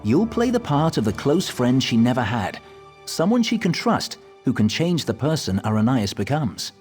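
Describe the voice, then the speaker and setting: An actor voicing a whimsical, coy gatekeeper who tells stories theatrically in a fantasy game. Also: male voice, medium pitch, very animated, normal speed, a little mellow, soft background music, lightly acted. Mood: playfully instructive. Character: whimsical, courteous-demanding male gatekeeper and storyteller. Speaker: storytelling gatekeeper.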